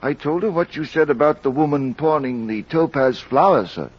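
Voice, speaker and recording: High-pitched, deferential radio manservant. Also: male voice, moderate pace, husky. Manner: polite, obsequious. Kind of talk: old-time radio detective drama acting. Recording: dull, band-limited old radio recording.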